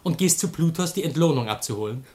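A man's voice: fairly high pitch, lively intonation, quick pace, darkish tone, natural voice. A male actor playing a bold, jovial, mocking Greek man in a German radio comedy, declaiming theatrically with sardonic relish. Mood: dry, mocking.

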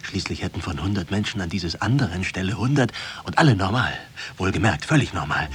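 An actor playing a brooding, sleepless, love-struck composer in a German radio drama, musing and rambling wryly. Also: male voice, low voice, a bit bright, a little hiss or hum. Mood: wry, restless.

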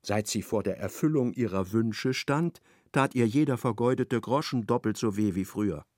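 A man narrating a literary radio play in a measured, precise storytelling manner. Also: lowish voice, darkish tone, no echo, clean studio sound.

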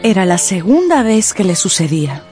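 Lady narrator for a radio drama, warm mellow tone, very animated pitch, pauses for suspense, light music behind.

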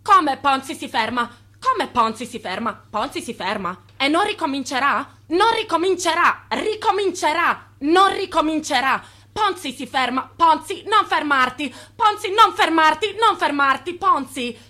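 Radio drama: agitated aide, high-pitched, piercing, insistent and repetitive. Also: female voice, lively intonation, a little slow, few pauses, pushed and bright, heavily acted. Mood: frantic. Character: agitated, urgent female aide to a financier.

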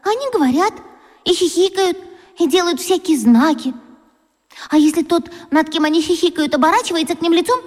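A woman's voice: very high-pitched, brisk, darkish tone, in a large hall. A woman voicing a kind-hearted fifth-grade schoolgirl, talking through her worries in a self-reproaching inner monologue for a children's radio play. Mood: fretful. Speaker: radio drama actress.

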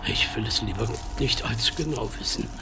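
Gravelly, wary village headman. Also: fairly low pitch, a little fast, loud music behind the voice. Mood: disgusted. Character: grown village headman, a father, wary but reasonable. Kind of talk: German radio drama acting.

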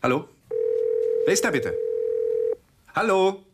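An actor playing an evasive, weary young married lawyer, hesitant and guarded, in radio play dialogue. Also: male voice, high voice, expressive, takes it slowly, very noisy. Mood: polite, uneasy.

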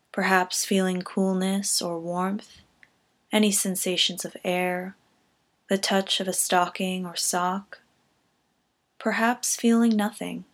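Woman calmly guiding a meditation. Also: mid-range voice. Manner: calm, gentle, measured delivery with soft phrase endings. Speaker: meditation guide. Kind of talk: scripted guided body scan meditation.

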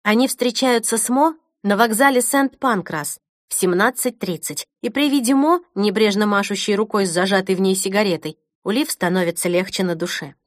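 A woman narrating a novel as an audiobook in a measured, even reading. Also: medium pitch, no echo, studio quality.

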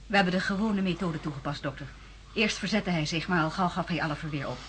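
An actor playing a businesslike medical assistant in a radio drama, reporting in a clinical, matter-of-fact way. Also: male voice, a little high, quick pace, lightly acted. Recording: noisy radio drama room scene.

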